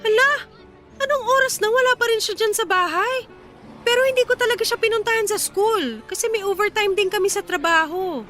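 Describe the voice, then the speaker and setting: A woman's voice: very high voice, a little fast, bright, forceful tone, faint music behind. A woman with a Filipino accent playing an anguished, short-tempered young aunt in a radio drama. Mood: everyday, concerned.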